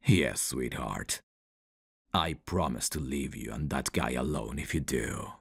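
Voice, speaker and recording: A man acting a teasing, menacing, flirtatious mafia boss in an ASMR roleplay, with a smug, gravelly, intimate drawl. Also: extremely low pitch, full character voice. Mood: commanding.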